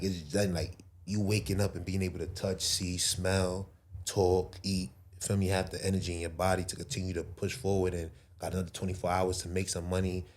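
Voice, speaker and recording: A man's voice: deep voice, darkish tone. A man, chatting casually as a podcast guest with loose street slang and lots of fillers. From Brooklyn, New York. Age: 20s. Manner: loose, filler-heavy street slang, says bro a lot. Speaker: guest entrepreneur.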